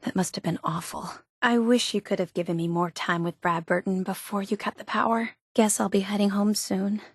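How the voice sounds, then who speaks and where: A woman playing an eager, obsessive young superfan in a video game, with a gushing, earnest, excitable manner. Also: medium pitch, moderate pace, no room sound, studio quality, natural voice. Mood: wistful, conflicted. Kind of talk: video game character dialogue.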